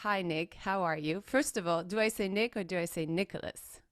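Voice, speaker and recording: A woman podcast host with a smooth, crisp voice that sits a little low for a woman. She talks in an upbeat, emphatic way and repeats phrases for stress. The sound is dry and close, with no room around it. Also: a little fast, no echo. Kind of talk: podcast intro and casual interview chat.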